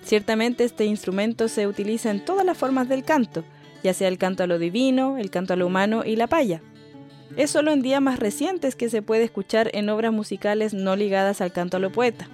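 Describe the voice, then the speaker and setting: A woman narrates a lesson at a clear, measured pace. Her delivery is scripted and explanatory, and faint music plays behind her voice. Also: a little high, a little mellow.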